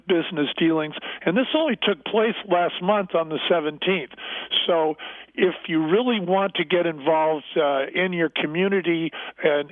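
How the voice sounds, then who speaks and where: Man on a phone-quality line, no room echo, chatting through stories in an interview, emphatic phrasing. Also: on the high side. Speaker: interview guest, business founder. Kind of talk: conversational interview storytelling.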